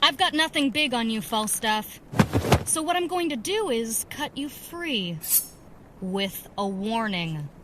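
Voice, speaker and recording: A woman's voice: fairly high pitch, animated, slow pace, noisy background. A young woman acting as a brash, headstrong vigilante in an audio drama, taunting and eager to prove herself. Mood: threatening.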